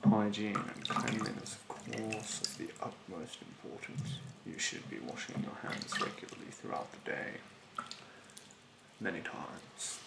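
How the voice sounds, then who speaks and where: A young man playing a rude, snobbish, fastidious facial therapist in a soft, half-whispered ASMR roleplay, haughtily polite and hesitant. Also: fairly low pitch, creaky, echoey room, very noisy. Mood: fussy, instructive. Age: young adult. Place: bedroom, indoors. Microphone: close mic in front.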